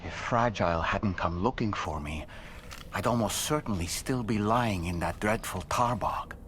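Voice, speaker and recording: Man acting low-voiced, sorrowful father trapped in doll. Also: fairly bright tone, a little hiss or hum, close to an everyday voice. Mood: wistful. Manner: formal, measured, reflective. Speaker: voice actor in a video game. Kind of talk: dramatic video game storytelling.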